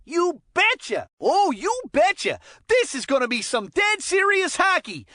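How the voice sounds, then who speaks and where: High-pitched, excitable kid hockey commentator. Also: female voice, no room sound, clean studio sound, exaggerated voice. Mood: excited. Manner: chirpy, folksy, giggly. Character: excitable, clumsy, good-natured child hockey commentator. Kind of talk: cartoon video game sports commentary.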